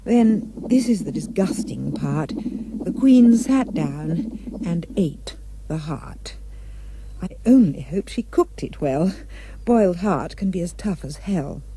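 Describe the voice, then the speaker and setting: A woman's voice: lowish voice, animated, takes it slowly, background noise. A woman narrating a rhyming storybook tale for a puppet show with dramatic, emphatic delivery.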